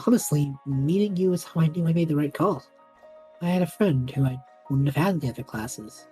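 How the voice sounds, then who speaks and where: A woman's voice: low-pitched, brisk, warm tone, faint music behind, close to an everyday voice, poor audio. A young woman playing an easygoing, impulsive student with a playful, dry manner in a naturalistic audio drama. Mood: teasing.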